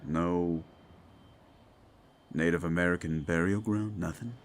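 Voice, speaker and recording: A man playing a gruff, weary demon investigator of the paranormal, thinking out loud in an improvised audio roleplay. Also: low-pitched, fairly even intonation, measured pace, a little hiss or hum. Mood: pensive, probing. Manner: musing, conversational, trailing questions. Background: background music.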